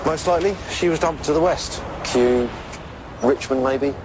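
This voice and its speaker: A man's voice: on the high side, normal speed, lightly acted. A British man playing a practical police search sergeant, speaking matter-of-factly in a naturalistic drama. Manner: matter-of-fact. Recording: noisy outdoor urban ambience, traffic.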